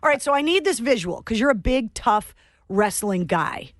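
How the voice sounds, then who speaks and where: A woman's voice: fairly high pitch, animated, normal speed, no room sound, studio quality. A woman hosting a radio show, chatting casually with a guest in a bubbly, teasing way. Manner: bubbly, teasing, laughs often. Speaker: radio host. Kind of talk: casual radio interview chat. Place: radio studio.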